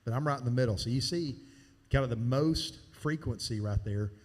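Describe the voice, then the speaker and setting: A man's voice: medium pitch, moderate pace. A man giving casual, hands-on training on a church sound board, talking while he demonstrates. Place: church sanctuary. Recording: long hall reverb from live church sound.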